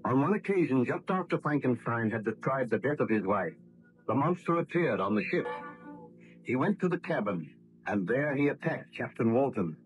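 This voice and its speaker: Vintage radio serial narrator, male, scripted and measured, medium pitch, soft music underneath. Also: sing-song, low-quality recording.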